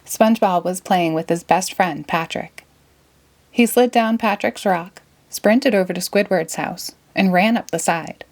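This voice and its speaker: A woman reading a children's story aloud in an expressive, deliberate way. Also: on the low side. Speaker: storybook reader. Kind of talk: children's read-aloud narration.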